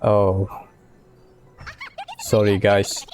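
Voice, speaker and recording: A man doing casual video game commentary as a streamer, talking haltingly with muttered asides. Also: on the low side, monotone, a little mellow. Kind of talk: casual gameplay commentary, reading on-screen text. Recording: faint game music behind.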